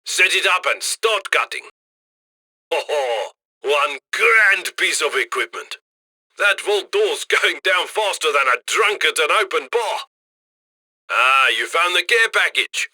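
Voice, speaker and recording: A man's voice: fairly high pitch, lively intonation, slow pace, sharp tone, no room sound, full character voice. A man playing a cheerful, cheeky heist handler who chummily guides a robbery crew in a video game. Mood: brisk, scheming, then gleeful, praising. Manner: chummy, cheeky, colloquial, gleeful about loot. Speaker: mission handler. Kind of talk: video game mission voice lines.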